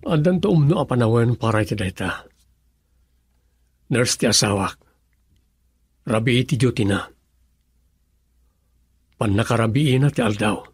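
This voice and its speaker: An actor playing a brooding husband who longs for a child in an Ilocano radio drama, grave and hesitant, with an Ilocano accent. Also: male voice, medium pitch, animated, unhurried, long pauses, slightly rough, no echo. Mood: somber, confiding.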